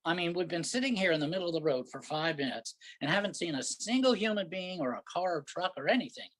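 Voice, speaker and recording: A man's voice: high voice, lively intonation, fast talker, a bit bright. A man acting an exasperated, sarcastic city husband. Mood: frustrated. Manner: sarcastic, grumbling. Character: exasperated, impatient New York husband lost in the countryside. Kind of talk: staged reading of a comic play.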